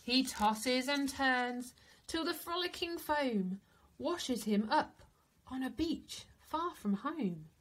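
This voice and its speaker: A woman reads a children's picture book aloud as a teacher would, with dramatic, deliberate phrasing. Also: high-pitched, expressive, slight background noise, low-quality recording. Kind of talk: expressive children's storybook narration.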